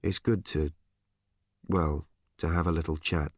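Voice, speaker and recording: Audiobook role: male reader playing well-meaning, out-of-his-depth father, mild and halting, lively intonation, very dry sound. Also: medium pitch, no echo, natural voice. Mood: gentle, awkward. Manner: hesitant, stammering, mild. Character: bewildered, well-meaning, awkward father of a teenage girl.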